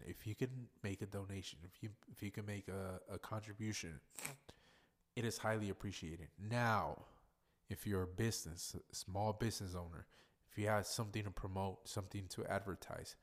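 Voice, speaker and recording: A man with a somewhat low voice hosts his own podcast, rambling casually on his own. He is congested and sounds plainly nasal, and he swears freely as he talks. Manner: rambling, profane, loose and chatty. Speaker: podcast host. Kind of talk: casual solo podcast monologue.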